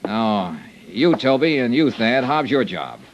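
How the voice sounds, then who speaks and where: A man's voice: medium pitch, big pitch swings, unhurried, dull audio, a little hiss or hum. An American man playing a tough, commanding investigator in an old-time radio drama, with clipped, hard-boiled delivery. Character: tough, commanding insurance investigator, brisk and determined. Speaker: lead actor and narrator of a detective radio serial.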